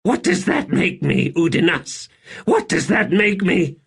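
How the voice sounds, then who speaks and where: A man voicing a young emperor in an audiobook, imperious yet fragile and weary, with a halting, strained delivery. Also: sits high, huge pitch swings, vocal fry, fairly bright tone, slightly rough, voice pushed up, heavily acted. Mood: indignant rage. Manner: halting, strained, swinging between command and fragility. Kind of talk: audiobook character acting.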